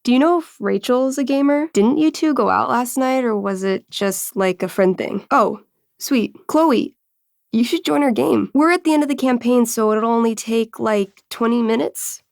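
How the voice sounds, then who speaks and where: An eager young woman running a tabletop fantasy game. Her voice sits fairly high and is recorded very dry and close. She tells things theatrically, with casual, wry touches, in video game dialogue. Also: moderate pace, vocal fry, darkish tone, dry recording. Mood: friendly, eager. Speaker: game master.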